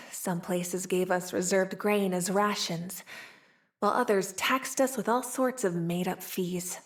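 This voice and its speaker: A woman's voice: medium pitch, steady tone, slow, natural voice. A young woman voicing a playful, streetwise, wry frontier woman with a tail in a video game. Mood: wistful, world-weary. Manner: teasing, sly, drawling, sighing between wry remarks. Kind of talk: video game character voice lines.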